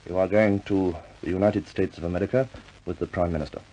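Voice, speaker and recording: A man acting as a senior British air force commander in an old 1950s war film. His voice is low and measured, with clipped formal phrasing and a firm yet fatherly manner. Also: steady tone, dark tone, slight background noise, close to an everyday voice, low-quality recording. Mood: kindly, regretful.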